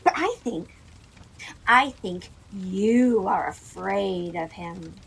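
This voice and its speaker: Scornful queen, slow n drawling, gravelly husky voice, mocking, audio play. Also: medium pitch, expressive, fairly bright tone, full character voice, poor audio. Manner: disdainful, mocking, drawn-out. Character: scornful, imperious, impatient middle-aged queen. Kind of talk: classic stage play performed as audio drama.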